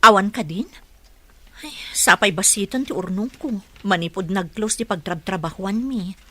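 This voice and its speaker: A woman's voice: medium pitch, normal speed, a bit bright, natural voice. A woman acting as a struggling, caring young mother in a dramatised audio story. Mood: worried.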